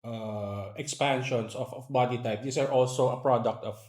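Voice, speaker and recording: A man's voice: mid-range voice, steady tone, normal speed, darkish tone. A man with a Filipino accent hosting an interview in a conversational way, feeling out and rephrasing his questions. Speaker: interviewer, host. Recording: roomy, echoey indoor sound.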